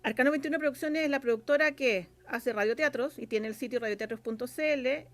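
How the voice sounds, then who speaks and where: A woman with a Chilean accent explaining things as an interview guest, colloquial and a little halting as she thinks aloud. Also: high-pitched. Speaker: interview guest, radio drama scriptwriter and producer. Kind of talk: casual conversational explaining.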